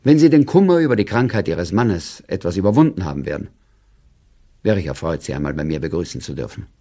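An actor playing a formal, condescending court chairman, reading out a letter of condolence with stiff, measured courtesy in a radio drama. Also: low-pitched, lively intonation, warm tone. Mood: formal sympathy.

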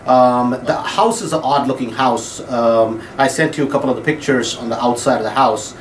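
A man on a phone call in a small office, talking slowly with many fillers and drawn-out words. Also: medium pitch. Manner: drawn-out, filler-heavy talk. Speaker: real estate investor bidding at auction. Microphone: phone held at mouth, speakerphone. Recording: roomy office with faint hum.

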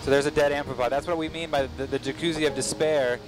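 A man working as a scientist gives casual live commentary on a dive, explaining things in halting, thinking-aloud phrases. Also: fairly high pitch, steady tone, music in the background, rough recording. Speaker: expedition scientist.

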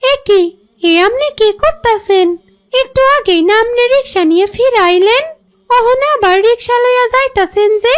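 A woman playing a gentle, caring village mother in a cartoon, speaking rural dialect with a Bangladeshi accent. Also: extremely high pitch, normal speed. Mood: concerned. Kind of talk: cartoon voice acting.

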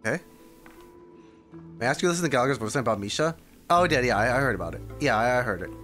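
Offhand male game streamer, mid-pitched. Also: lively intonation, rapid-fire, fairly bright tone. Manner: offhand, informal, muttering asides. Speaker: streamer reacting to a game story. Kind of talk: casual live commentary with skimmed read-aloud. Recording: faint game music behind. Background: video game music.

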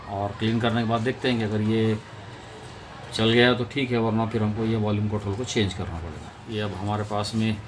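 A man's voice: fairly low pitch, noisy background. A man giving an informal hands-on repair tutorial, explaining step by step to viewers in a home-made recording.

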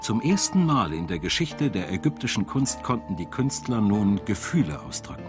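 A man's voice: fairly low pitch, very animated, moderate pace. A man reading scripted narration for a documentary as a studio voice-over. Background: music.

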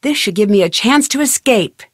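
A woman playing a young, curious and determined amateur detective in a video game, thinking aloud in clearly articulated scripted lines. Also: a little high, expressive, moderate pace, dry recording, clean studio sound. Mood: musing, focused.

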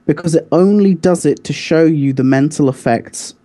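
A man's voice: on the high side, normal speed, warm tone. A man co-hosting a podcast, explaining his points insistently in a casual debate. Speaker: podcast co-host.

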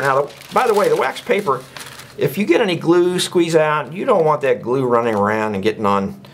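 A man's voice: medium pitch, background noise. An older man giving a casual hands-on woodworking demonstration, chatty and folksy, often tossing out rhetorical questions. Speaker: woodworking instructor. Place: indoors at a workbench.

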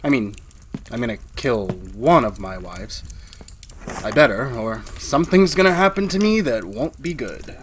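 A man's voice: medium pitch, lively intonation, measured pace, lightly acted. An actor playing a haunted, brooding man who confides like a narrator in a retro radio drama. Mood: brooding confession. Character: haunted husband confessing a murder plan, uneasy academic. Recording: faint background noise.

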